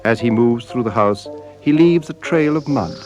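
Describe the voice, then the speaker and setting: A man narrating a radio drama in a solemn, measured way, with deliberate dramatic pauses. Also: mid-range voice, lively intonation. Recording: radio drama with music behind. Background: music.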